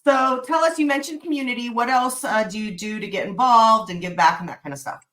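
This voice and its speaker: A woman's voice: a little high, a little fast, fairly bright tone. Friendly, affirming woman podcast host. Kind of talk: casual podcast interview.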